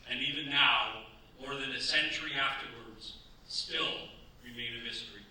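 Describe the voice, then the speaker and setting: A grave male narrator, played by an actor in a staged radio play. His voice is slightly high and very bright, heard at a distance with heavy echo. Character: grave male narrator of true crime tragedy. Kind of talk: dramatic scripted narration. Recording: echoey hall, distant mic, faint noise.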